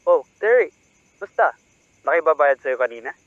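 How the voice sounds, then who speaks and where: A man's voice: fairly high pitch, big pitch swings, normal speed, no room sound. A man with a Filipino accent playing a teasing young friend on a phone call in a radio drama, through a telephone filter. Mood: teasing, complaining. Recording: thin phone-line sound.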